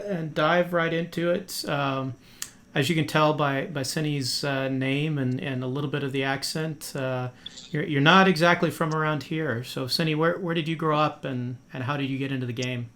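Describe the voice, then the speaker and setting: A man's voice: on the high side, normal speed, a bit bright. A man hosting a podcast interview in a friendly, casual way, with many fillers and restarts. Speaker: podcast host.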